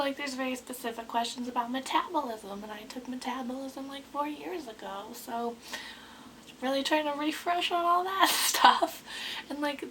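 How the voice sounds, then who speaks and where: A woman in her twenties with a hoarse, sick voice, chatting casually to camera in a rambling way with lots of ums. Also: high-pitched, fairly bright tone, slight background noise, poor audio. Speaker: beauty vlogger.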